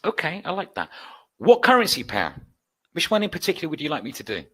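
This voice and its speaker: Bright-voiced British man hosting a webinar. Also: on the high side, huge pitch swings, quick pace, husky. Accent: British English. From United Kingdom. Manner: chatty, upbeat, reads out and answers viewer requests. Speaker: trading educator hosting a webinar. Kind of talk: live webinar Q&A.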